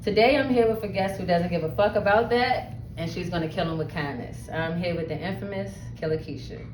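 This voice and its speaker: A woman's voice: fairly low pitch, brisk, a little hiss or hum. A woman hosting a casual interview, asking questions in an informal, slangy way. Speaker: interview host. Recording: roomy, distant mic, loud music behind.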